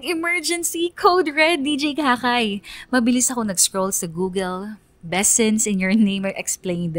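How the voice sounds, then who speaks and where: A woman's voice: medium pitch, dry recording, lightly acted. A woman playing a lovesick young woman who narrates her crush story in a dramatic, confiding, colloquial way for a radio drama.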